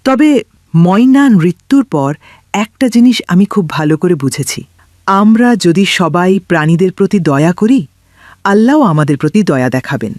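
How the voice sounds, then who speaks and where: A performer voicing a pious, gentle cartoon character who consoles and moralises in an earnest, reassuring way. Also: medium pitch, animated, normal speed, no echo, clean studio sound, close to an everyday voice. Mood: consoling, earnest.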